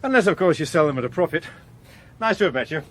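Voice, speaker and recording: An actor playing a suave veteran politician in a radio drama, urbane, measured and drily understated. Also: male voice, on the high side, expressive, a bit bright. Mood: wry, polite. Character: suave, unflappable veteran politician and chief whip.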